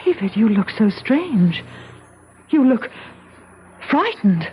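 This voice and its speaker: A woman playing an anxious, gently persuasive young wife with a breathy voice, in 1970s radio drama style. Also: fairly high pitch, big pitch swings, slow pace, very noisy. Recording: muffled 1970s radio broadcast.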